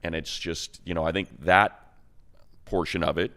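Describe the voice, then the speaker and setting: Deep-voiced man talking football, emphatic and colloquial. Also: fairly even intonation, moderate pace, noisy background. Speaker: sports radio announcer and analyst. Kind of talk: casual football talk and analysis.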